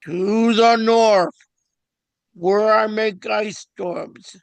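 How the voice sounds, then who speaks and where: An imposing storm-cloud giant played by a man, speaking extremely slowly with a gravelly creak, high for a male voice, in a fantasy drama read aloud. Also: fairly even intonation, dry recording, full character voice. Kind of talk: script table read.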